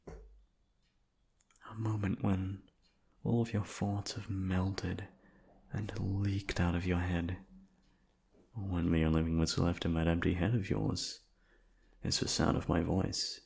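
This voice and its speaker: A man playing a seductive, teasing, dominant hypnotist coworker in an ASMR roleplay, with a deep, breathy, hushed voice. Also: little pitch movement, slow pace, long pauses, heavily acted. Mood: hypnotic, hushed.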